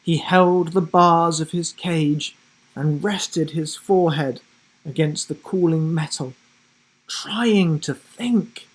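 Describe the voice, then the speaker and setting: A man's voice: on the high side, slow, rough recording. A man reading a children's adventure story aloud with dramatic, theatrical delivery. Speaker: story reader. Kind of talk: dramatic scripted storybook narration.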